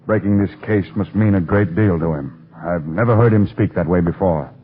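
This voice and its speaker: A man playing a seasoned, gruff police commissioner, matter-of-fact and authoritative, in 1930s radio drama style. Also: low-pitched, moderate pace, lightly acted. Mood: knowing, sober. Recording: thin, lo-fi old radio recording.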